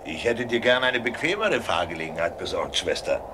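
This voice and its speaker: An actor playing a calm, protective, secretive male courier in a radio play. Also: lowish voice, a little fast, heavy background noise. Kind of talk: German radio play acting.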